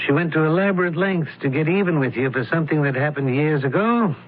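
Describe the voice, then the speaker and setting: An actor playing a shrewd, candid doctor and sleuth in an old-time radio drama, speaking in a measured, precise way. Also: male voice, a little high, close to an everyday voice. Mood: candid, grave. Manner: measured, precise, deliberate explaining. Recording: tinny, noisy radio drama recording.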